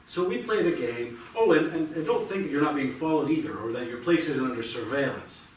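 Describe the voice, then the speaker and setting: A man's voice: a little high, expressive, brisk, close to an everyday voice. An actor playing a defensive, argumentative middle-aged father in a live staged play reading. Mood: combative. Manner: insistent, argumentative, stumbling over restarts. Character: defensive, combative father shielding his teenage son. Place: indoor theatre stage, recorded from a distance. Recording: echoey, distant theatre recording with faint noise.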